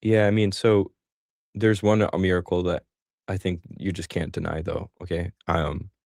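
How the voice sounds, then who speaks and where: A man with a very low, gravelly voice hosts a live call-in stream. He talks casually, joking and poking fun at himself. The sound is dry and close. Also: flat delivery, moderate pace, a little mellow, no room sound. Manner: chatty, self-deprecating, joking. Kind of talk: casual chat.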